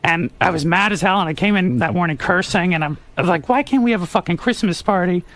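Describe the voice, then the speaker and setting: A man with a high-pitched voice in casual, candid radio talk, frank and a little defensive. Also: fast, fairly bright tone, dry recording. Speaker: radio show staffer and guest.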